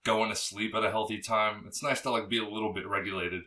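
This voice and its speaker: A young man with a low, bassy voice talks loosely as a podcast guest, piling on fillers and casual swearing. His tone is bright and forceful. He sits a little back from the microphone. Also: steady tone, fast, far from the mic. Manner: rambling, full of fillers and swearing. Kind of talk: casual interview chat.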